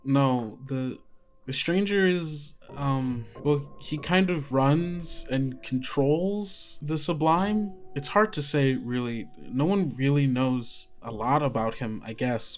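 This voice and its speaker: Marley, a nervous young girl from a tabletop game, stammers and restarts her sentences, as a man voices her. The voice sits at an ordinary middle pitch and is close to everyday talk. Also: lightly acted. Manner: halting, stammering, giggly.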